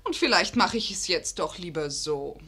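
A woman's voice: lowish voice, animated, normal speed, pushed and bright, slightly rough, exaggerated voice. An actress playing an empty-headed young provincial lady in a radio comedy, whiny, affected and rambling. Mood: affected, vapid. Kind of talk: German radio play comedy acting.

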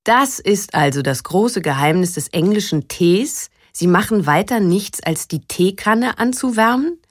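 A woman voicing a blustering, scornful middle-class German gentleman for an audiobook, pompous and incredulous. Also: mid-range voice, expressive, measured pace, dry recording, clean studio sound. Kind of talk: audiobook character voice.

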